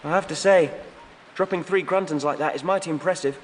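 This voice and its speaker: A man's voice: on the high side, fairly quick, in a large hall, a little hiss or hum, pitched above the performer's own voice, natural voice. A man voicing a scrappy, cheeky young male alien street hustler in an audiobook, defiant and wary. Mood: wary, impressed. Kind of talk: audiobook character acting.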